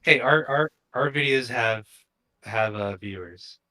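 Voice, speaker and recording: Bright-voiced man in casual discussion. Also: mid-range voice, slow. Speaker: co-host of a crypto show.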